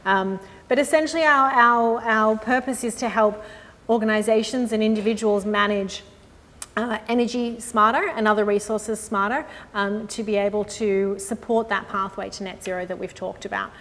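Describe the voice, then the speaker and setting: A woman, a sustainability consultant giving a live industry presentation in a room with an audience, in a friendly, measured way with frequent "um" and "uh". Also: medium pitch, steady tone, fairly bright tone. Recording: long hall reverb with faint noise.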